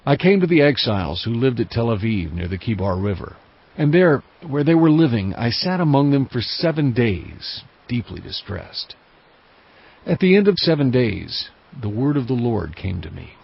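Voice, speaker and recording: An actor playing a solemn male prophet who recounts his visions in earnest, measured first-person scripture narration. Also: medium pitch, big pitch swings, gravelly, breathy edge, dull audio, heavily acted. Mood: subdued, distressed.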